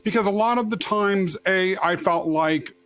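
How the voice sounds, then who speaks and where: A man hosting a podcast, asking long, expressive, rambling questions with frequent restarts. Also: high voice, normal speed. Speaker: podcast host. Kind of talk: conversational interview questions.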